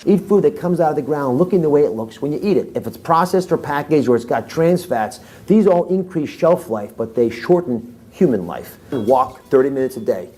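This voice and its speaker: A man's voice: on the high side, lively intonation, normal speed, few pauses, mellow. A man giving plain-spoken health advice in a direct, practical way.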